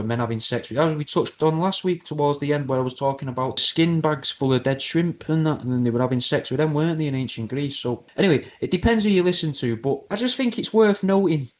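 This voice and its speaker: A British man hosting an internet radio show, delivering a crude, rambling comedic conspiracy monologue in a deadpan way. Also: mid-range voice, muffled recording. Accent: British English.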